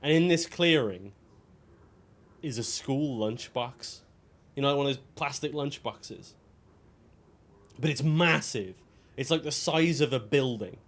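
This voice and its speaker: A man's voice: mid-range voice, a little slow, long pauses. Male game streamer, casual and conversational, rambling storyteller with dry asides. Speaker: game livestreamer. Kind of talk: casual chat while playing a video game.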